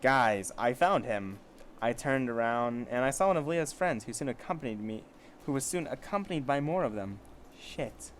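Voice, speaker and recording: A man's voice: medium pitch, animated, normal speed, slight background noise. A man casually reading amateur fiction aloud, with sarcastic, foul-mouthed asides. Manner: sarcastic, profane commentary. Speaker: amateur story reader.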